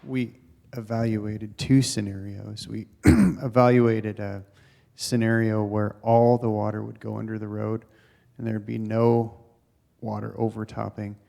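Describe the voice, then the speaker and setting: A male engineer calmly explaining technical options at a town board meeting. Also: fairly low pitch, a little slow, creaky. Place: town council chamber.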